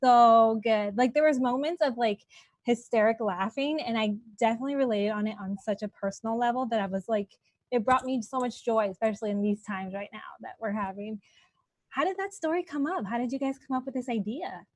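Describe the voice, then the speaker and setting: A bubbly woman in her late twenties hosts a relaxed interview, laughing easily and leaning on casual fillers. Her voice sits a touch high. The sound is close and dry. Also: brisk, no echo. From Colombian and Mexican heritage. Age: 20s. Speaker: host.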